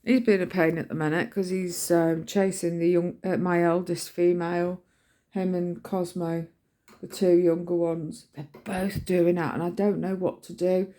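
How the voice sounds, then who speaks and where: A woman's voice: fairly low pitch, little pitch movement, unhurried, warm tone. A middle-aged British woman chatting casually in a rambling vlog, telling everyday stories in colloquial language and acting out what people said. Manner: chatty, colloquial storytelling with acted-out quotes. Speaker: vlogger.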